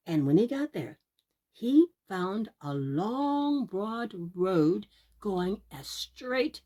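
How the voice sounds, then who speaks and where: A woman telling a children's fairy tale aloud, expressive and deliberate, drawing out words for young listeners. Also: on the high side. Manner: deliberate, drawn-out emphasis, addressing young listeners. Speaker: children's storyteller.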